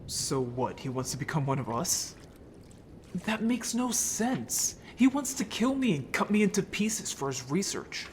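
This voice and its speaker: A man's voice: high-pitched, sing-song, measured pace, a little hiss or hum. A man playing an earnest, sensitive merman in an audio drama, hesitant and emotional in delivery. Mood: puzzled, anxious. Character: earnest, sensitive merman haunted by childhood friendship.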